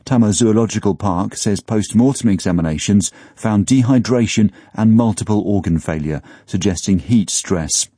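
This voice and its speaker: A man's voice: lowish voice, moderate pace, hardly any pauses, warm tone, slightly rough, no echo, clean studio sound. A man reading a scripted news bulletin as a newsreader.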